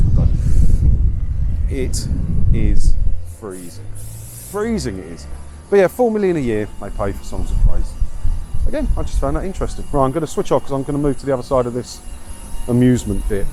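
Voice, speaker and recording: A man's voice: fairly low pitch, low-quality recording. A man with an English accent giving a casual, rambling, self-deprecating and grumbling walk-and-talk vlog. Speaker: video blogger, host. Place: outdoors, walking along a windy seaside town street. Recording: windy outdoor street noise. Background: wind, street and vehicle noise.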